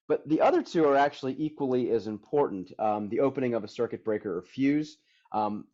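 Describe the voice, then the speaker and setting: A man presenting a technical webinar, hoarse and tired, talking energetically and casually with many fillers. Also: on the low side, warm tone, dull audio. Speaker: product development director presenting a webinar.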